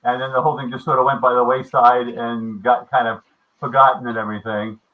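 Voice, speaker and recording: A man's voice: medium pitch, flat delivery, brisk, phone-quality sound. A man in his seventies chatting casually in a meeting, rambling with many restarts and fillers. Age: 70s. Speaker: maker club member. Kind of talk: casual video meeting chat.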